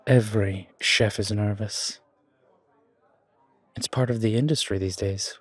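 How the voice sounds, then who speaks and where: A man's voice: fairly low pitch, steady tone, unhurried, no room sound. A man playing a self-doubting chef in an audio roleplay, with a casual, hesitant, slangy way of talking. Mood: nervous. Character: self-doubting male chef, casual dreamer opening his restaurant. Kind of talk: fan audio roleplay acting.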